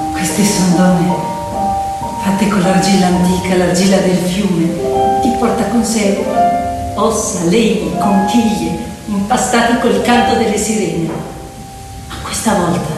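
A woman narrating as an actor in poetic stage storytelling, lyrical and deliberate, in an echoey hall. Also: on the low side. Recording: echoey hall, distant, music behind.

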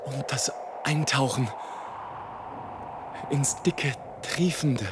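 A man's voice: medium pitch, extremely slow, heavy background noise. An actor playing a fervent airship adventurer in a radio play, declaiming his flight in poetic, theatrical phrases. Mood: frantic exaltation.

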